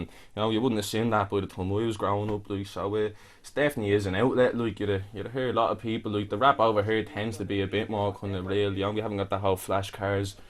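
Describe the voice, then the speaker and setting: Low-voiced young man. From Ghana. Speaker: rapper. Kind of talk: interview.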